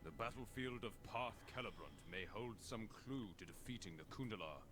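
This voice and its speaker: An actor voicing a grave male warrior ally in a fantasy game, with a very deep voice and a slow, solemn delivery. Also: lively intonation, background noise, natural voice. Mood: earnest. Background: loud game music.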